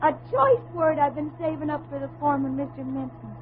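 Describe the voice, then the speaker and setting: A woman performs a plain-spoken, harried factory girl from classic radio drama. Her voice is extremely high, with spirited, slangy delivery. The old recording is phone-like and slightly noisy. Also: fairly even intonation, brisk, lightly acted. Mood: eager, relishing. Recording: phone-like vintage radio recording, faint noise.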